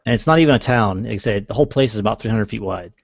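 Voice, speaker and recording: Guy game mastering, lowish voice, hesitant and halting, roomy dull lo-fi recording. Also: vocal fry. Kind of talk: improvised tabletop game narration.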